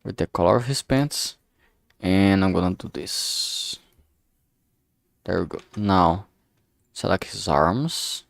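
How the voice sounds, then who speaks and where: A man's voice: low-pitched, expressive, takes it slowly, gravelly, long pauses, no echo, studio quality. A man casually talking through his digital art work on a livestream, murmuring each step as he goes. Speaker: streamer making a visual novel. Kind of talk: casual livestream commentary while drawing.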